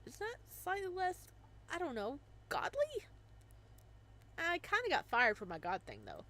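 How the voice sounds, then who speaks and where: A woman's voice: extremely high pitch, wildly swooping pitch, unhurried, pushed and bright, pitched above the performer's own voice, exaggerated voice. A woman voicing a cheerful, goofy young male god of winter who stammers and rambles bashfully. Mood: sheepish.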